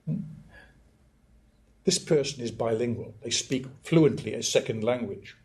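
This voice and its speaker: An older man from northeast England, giving a measured, emphatic academic lecture and often clearing his throat. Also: mid-range voice, expressive, a little mellow. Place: lecture hall.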